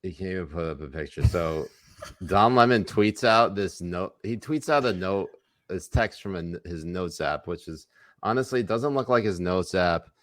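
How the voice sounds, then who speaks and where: Deep-voiced man trading crude jokes. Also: lively intonation, normal speed, no room sound. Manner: crude, teasing, laughing. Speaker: podcast co-host. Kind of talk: crude comedic banter.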